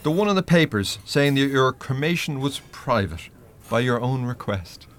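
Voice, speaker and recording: An actor playing a wry, unsparing spirit guide in a radio play, with a measured, teasing, blunt manner. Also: male voice, mid-range voice, animated, fairly bright tone, natural voice. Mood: mocking.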